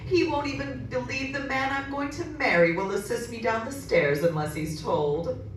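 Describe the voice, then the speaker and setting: A woman's voice: on the high side, normal speed, close to an everyday voice. Woman as a wry young daughter, very echoey. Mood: wry, exasperated. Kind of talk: radio horror drama acting. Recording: very echoey, distant, faint noise.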